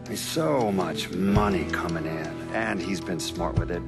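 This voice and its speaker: An actor playing a knowing man who narrates a crime game story in a measured, wry way. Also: sits low. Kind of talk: dramatic narrated game monologue. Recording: very loud guitar music underneath. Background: loud guitar music.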